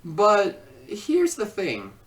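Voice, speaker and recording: A film reviewer giving an informal critique in a halting way, often repeating and rephrasing, recorded at a distance from the microphone. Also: mid-range voice, huge pitch swings. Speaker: video reviewer and host. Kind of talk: informal scripted film review with ad-libbed asides.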